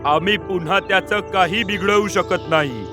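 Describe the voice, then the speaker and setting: A man voicing a grave forest sorcerer in an animated fable, with a solemn, authoritative manner. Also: high-pitched, moderate pace, music in the background, lightly acted. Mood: stern. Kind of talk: dubbed animated moral fable.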